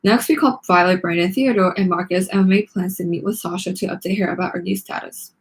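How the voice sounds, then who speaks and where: A woman's voice: fairly low pitch, steady tone, quick pace. A woman hosting a read-along, narrating a children's book expressively.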